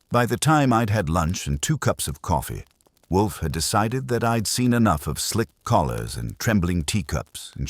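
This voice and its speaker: Very deep-voiced man narrating detective fiction. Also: dry recording. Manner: wry, hardboiled. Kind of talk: first-person scripted detective fiction narration.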